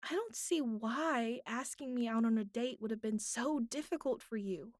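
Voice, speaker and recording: A woman playing a bratty but vulnerable young captive in an intimate audio roleplay, speaking haltingly with pleading, pouty turns and soft breathy asides. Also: fairly high pitch, dry recording, clean studio sound, natural voice. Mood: teasing, bratty.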